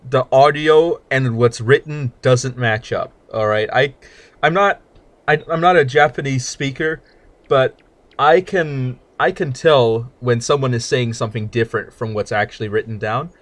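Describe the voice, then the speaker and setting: A man's voice: mid-range voice, a little slow, no room sound. A man streaming a card game with casual, rambling commentary, joking around and stumbling over his words as he restarts sentences. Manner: rambling, jokey, stammers and restarts.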